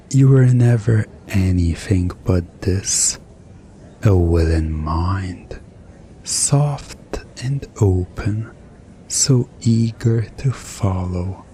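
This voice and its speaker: A man playing a mischievous, smugly commanding hypnotist in an ASMR roleplay, soothing and teasing with deliberate pauses. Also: lowish voice, warm tone, a little hiss or hum. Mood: teasing, hypnotic.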